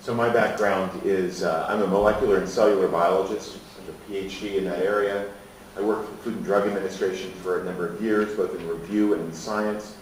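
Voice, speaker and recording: A man giving a professional talk, presenting in a formal, measured way. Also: deep voice, fairly even intonation. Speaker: presenter, technology commercialization expert. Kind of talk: self-introduction to an audience, professional talk. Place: echoey indoor presentation room. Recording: echoey room, distant mic, faint noise.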